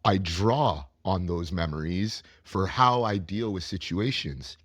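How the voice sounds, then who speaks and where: A man with a deep voice, a podcast guest who trains camp counselors, talking in an animated, storytelling way with repetition and laughs. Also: takes it slowly, no room sound, clean studio sound. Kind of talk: conversational podcast talk.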